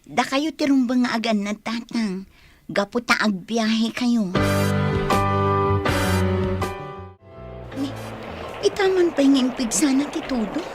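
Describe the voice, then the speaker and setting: A woman's voice: a little high, lively intonation, normal speed, darkish tone, faint music behind, natural voice. A woman with an Ilocano accent playing a young, resilient, dutiful village daughter in a radio drama. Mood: reassuring.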